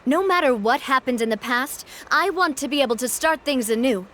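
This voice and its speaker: A woman's voice: sits high, animated, brisk, fairly bright tone. An actress playing a confident young woman who runs a syndicate, kind but firm and composed, in a video game. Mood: firm, conciliatory.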